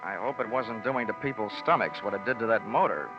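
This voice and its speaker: An actor playing a wisecracking, confident American insurance investigator in a vintage radio drama, wry and sardonic. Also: male voice, on the low side, animated, fairly quick, over music, close to an everyday voice. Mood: wry narration. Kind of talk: 1940s-50s radio detective acting and narration. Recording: noisy, muffled old radio recording.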